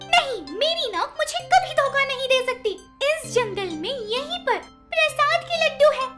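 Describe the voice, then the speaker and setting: Greedy, playful kid bird for a cartoon moral tale, played by a woman; extremely high, swooping childlike delivery. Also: lively intonation, a little slow, over loud music. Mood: eager. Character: gluttonous, cheeky little boy bird obsessed with laddoos. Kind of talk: children's animated moral story.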